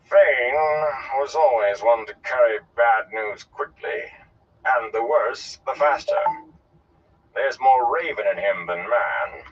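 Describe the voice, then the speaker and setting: A man voicing a flamboyant, haughty gleeman in an audiobook, with a theatrical, drawn-out and declamatory delivery. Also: sing-song, fairly bright tone, far from the mic, muffled recording, a little hiss or hum. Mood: scornful. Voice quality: deep, resonant. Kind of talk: audiobook character voice.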